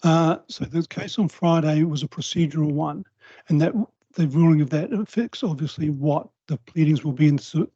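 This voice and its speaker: A New Zealand man speaking at a council public forum, giving a measured, precise legal briefing in a quiet voice. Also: on the high side, no echo. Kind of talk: formal legal presentation. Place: council chamber. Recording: slightly dull, dry council meeting recording.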